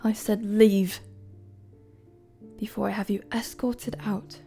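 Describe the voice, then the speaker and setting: A woman playing a weary, guarded young queen in a dramatic audio roleplay, with a soft, breathy voice and measured, formal, hesitant phrasing. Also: mid-range voice, flat delivery, soft background music. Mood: cold, commanding. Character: weary young queen, regal, guarded, firm with her friend.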